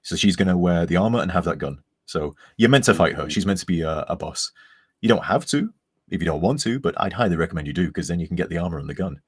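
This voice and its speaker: A man's voice: low voice, animated, quick pace, breathy edge, no echo, clean studio sound. A man from England with a mostly neutral English accent and a slight northern tint, chatting casually and rambling on a live charity stream. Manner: chatty, rambling, self-deprecating.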